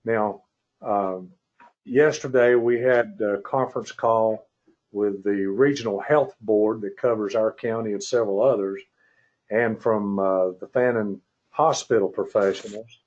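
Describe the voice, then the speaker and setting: A man chairing a formal public meeting by conference call, speaking in a measured, hesitant, courteous way. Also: fairly low pitch, steady tone, a little mellow. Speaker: county commissioner chairing the meeting. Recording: muffled conference call audio.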